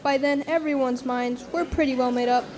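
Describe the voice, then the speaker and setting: An actress playing a sardonic, wry orphan child in a radio drama monologue. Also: female voice, sits high, fairly even intonation, normal speed, darkish tone, natural voice. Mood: bitter, sardonic.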